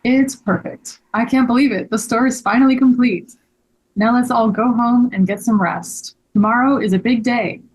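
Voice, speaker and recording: A woman's voice: on the high side, fairly even intonation, normal speed, a little mellow, slightly rough, low-quality recording. A woman playing an earnest, polite, grateful young male shop owner in a table read. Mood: grateful, pleased.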